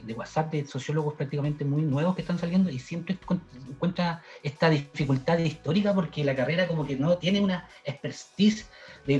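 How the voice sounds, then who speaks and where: A Chilean man from the south, a sociologist, answering interview questions in a halting way with many restarts. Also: on the high side, darkish tone, muffled recording. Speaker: sociologist guest.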